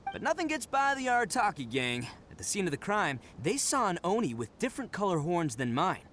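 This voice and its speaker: A man's voice: high-pitched, very animated, brisk, a bit bright, a little hiss or hum. A man voicing a boisterous, proud young male Oni gang leader in a game cutscene, given to hearty laughs. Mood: earnest. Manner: boastful, hearty laughs, earnest when serious.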